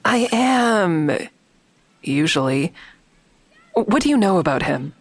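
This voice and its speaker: Wry female investigator, deep for a woman, persuasive, matter-of-fact, dry studio sound, audio drama. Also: very animated, takes it slowly, no room sound, heavily acted. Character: wry, persistent female amateur investigator bargaining with police. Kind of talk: naturalistic audio drama dialogue.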